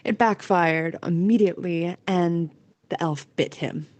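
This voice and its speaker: Female storyteller for audio drama narration, slightly low pitch, dry close sound. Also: unhurried, darkish tone, no room sound.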